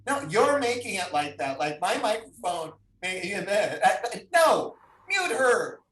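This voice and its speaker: A man's voice: high-pitched, very animated, a little slow, fairly bright tone. A man chatting casually as a talk show guest, self-deprecating and comically indignant, in an echoey room. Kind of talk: casual chat. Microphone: no dedicated microphone, far from mouth. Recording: echoey room, distant microphone.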